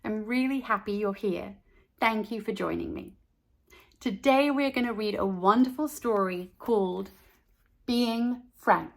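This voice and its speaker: A woman's voice: medium pitch, unhurried, vocal fry. A woman hosting storytime and reading a children's picture book aloud as narrator.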